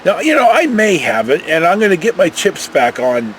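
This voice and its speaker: An older American man with a notably high voice tells casual, folksy stories. His pitch swings widely as he rambles along with animated emphasis. There is faint vehicle-like noise behind him. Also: normal speed, low-quality recording. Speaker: vlogger telling personal travel stories.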